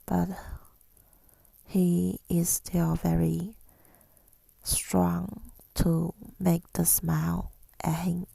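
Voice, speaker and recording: A woman speaking in a soft, breathy whisper in an ASMR style, reflective and hesitant, pausing often, close to the microphone. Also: lowish voice, little pitch movement, dry recording. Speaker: ASMR creator. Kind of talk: ASMR, rambling personal reflection.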